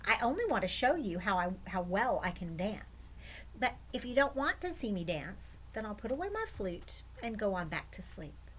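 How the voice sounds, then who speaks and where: Woman voicing a clever, coaxing storybook turtle, lively intonation. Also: medium pitch, normal speed, a little hiss or hum, low-quality recording. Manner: coaxing, persuasive. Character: clever, cajoling female turtle from a folktale.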